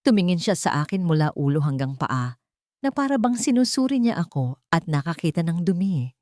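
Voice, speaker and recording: Casting: female storyteller for dramatic romance narration, slightly low pitch, very dry studio-like sound. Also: sing-song, fairly quick, mellow, dry recording.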